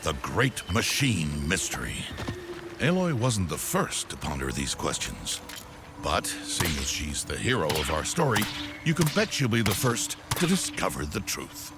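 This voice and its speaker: A wry storybook narrator for a comic game adventure. His low voice rises and falls expressively, theatrical and knowing, over clearly audible music. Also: wildly swooping pitch, unhurried, exaggerated voice. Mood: playfully mysterious.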